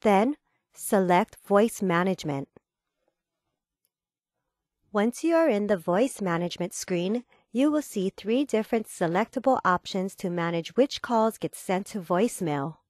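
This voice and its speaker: Woman, scripted tutorial narration, mid-range pitch, formal, measured delivery, dry close recording. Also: lively intonation, a little mellow, no room sound.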